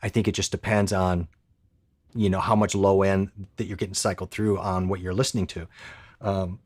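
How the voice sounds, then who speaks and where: A man talks gear on a home live stream in a slightly low voice, joking and restarting his sentences as he goes. The pencil condenser mic catches him close and dry, with no room sound. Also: flat delivery, normal speed, dry recording. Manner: joking, rambling, frequent restarts. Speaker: co-host of a tech live stream. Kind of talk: casual live stream chat.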